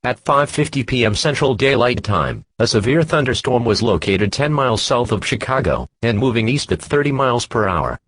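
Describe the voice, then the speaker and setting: A man's voice: mid-range voice, very animated, normal speed, few pauses, a bit bright, slight background noise, poor audio. A man as a weather service alert voice, reading a scripted warning in an impersonal, formal way. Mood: impersonal, official.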